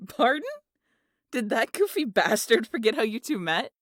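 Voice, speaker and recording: A woman's voice: a little high, moderate pace, no room sound, studio quality, lightly acted. A woman playing a brash, teasing, big muscular aunt in an ASMR audio drama, a swaggering storyteller. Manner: swaggering, foul-mouthed, animated storyteller.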